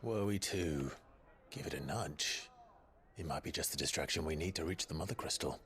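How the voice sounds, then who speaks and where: Game outlaw hero, deep register, watchful and terse, brooding naturalistic delivery. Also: male voice, normal speed, fairly bright tone, a little hiss or hum. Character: grim, watchful outlaw swordsman, brooding and purposeful. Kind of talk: naturalistic video game cutscene acting.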